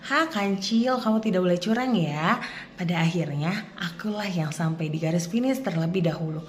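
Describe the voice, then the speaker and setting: A woman voicing a calm, humble turtle in a children's fable, speaking in a measured, earnest way. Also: lowish voice, a little hiss or hum, close to an everyday voice. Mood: firm, chiding. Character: calm, humble, steady turtle answering a boastful rival.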